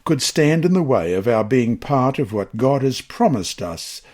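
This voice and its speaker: A man from Australia reading a scripted Bible lesson and reciting scripture in a measured, solemn, deliberate manner. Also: medium pitch, very animated, darkish tone.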